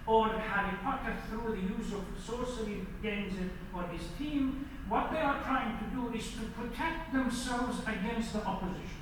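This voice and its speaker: High-pitched male preacher in an echoey hall. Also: a bit bright. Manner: didactic, questions the audience directly. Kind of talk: sermon lecture to a congregation. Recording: echoey hall, distant mic, faint noise.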